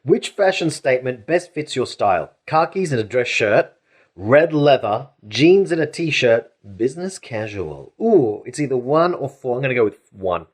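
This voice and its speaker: A man's voice: mid-range voice, big pitch swings, moderate pace, breathy edge. A man co-hosting a comedy podcast in casual chat, chatty and jokey with frequent restarts. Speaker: podcast co-host.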